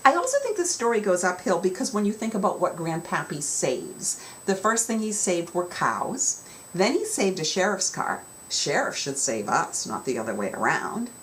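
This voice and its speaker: A woman's voice: mid-range voice, rough recording. A woman children's author giving an instructional talk to young students, explaining with emphasis in a storytelling way. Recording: clear background noise.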